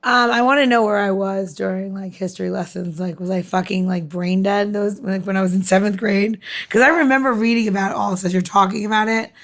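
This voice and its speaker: A woman's voice: medium pitch, fairly even intonation, brisk, few pauses. Chatty, blunt woman podcast co-host. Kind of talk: casual banter and cocktail tips.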